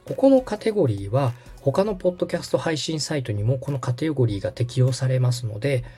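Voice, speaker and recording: Male podcast host, instructional walkthrough. Animated pitch, soft dark tone, polite and orderly, music bed. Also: fast talker, slightly rough. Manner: polite, methodical explainer. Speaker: podcast host and radio director giving step-by-step guidance.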